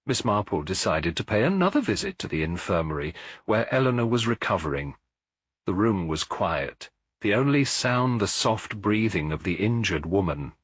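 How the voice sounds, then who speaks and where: A male narrator reads a scripted mystery story in a low voice with a husky grain. His pitch moves expressively, and the sound is dry and close. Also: a bit bright, no room sound.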